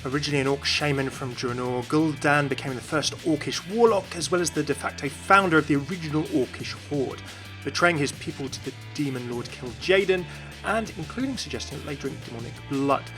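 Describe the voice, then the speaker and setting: A man's voice: on the high side, fairly quick. A man giving explanatory commentary as the host of a gaming video. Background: music.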